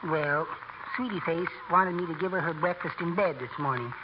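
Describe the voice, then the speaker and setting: An actor playing a meek, henpecked American husband, mild and prim but slyly vengeful, in a 1940s radio comedy. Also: male voice, on the high side, normal speed, natural voice. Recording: noisy, muffled 1940s radio recording.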